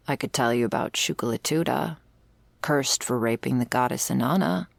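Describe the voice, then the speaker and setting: A heavily fried, gravelly female voice, very close and dry from a woman acting out the part. She is a world-weary servant of vampires in an ASMR roleplay, explaining things with sardonic, casually profane wit. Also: a bass voice, no echo, heavily acted. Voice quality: very creaky, gravelly. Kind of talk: ASMR roleplay, intimate scripted monologue.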